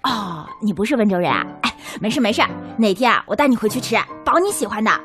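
A woman's voice: medium pitch, big pitch swings, quick pace, fairly bright tone, soft background music. A woman acting a scatterbrained, outspoken young food lover in a radio drama, chatty and impulsive. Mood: chatty, upbeat. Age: young adult.

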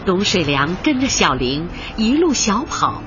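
A woman's voice: medium pitch, very animated, a little slow. A woman narrating a radio drama in a scripted, storytelling style. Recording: muffled radio drama recording, music underneath.

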